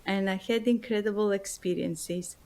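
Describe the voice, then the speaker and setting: A woman's voice: mid-range voice, fairly even intonation, normal speed, a little mellow. A woman from Greece with a Greek accent, an author answering interview questions in an earnest, heartfelt way and often repeating words as she searches for them. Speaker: guest author and spiritual teacher. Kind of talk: interview answers, personal story.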